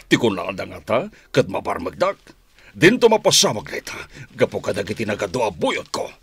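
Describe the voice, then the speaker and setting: A smug crime boss in a Filipino radio drama, self-assured and scheming. His lowish voice is husky and very animated. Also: fairly quick, exaggerated voice.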